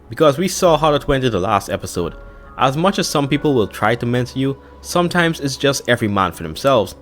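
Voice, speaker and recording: A man narrates at a quick clip, with music playing underneath. His delivery is a scripted explainer with a casual, conversational turn of phrase, sitting at an average male pitch. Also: a bit bright. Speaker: narrator of a YouTube explainer channel. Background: background music.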